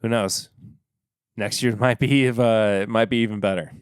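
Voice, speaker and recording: Slow, low-voiced man tasting and rambling. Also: smooth, dry recording, studio quality. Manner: relaxed, rambling, many fillers and restarts. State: slightly hoarse. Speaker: podcast host. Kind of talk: casual solo review talk.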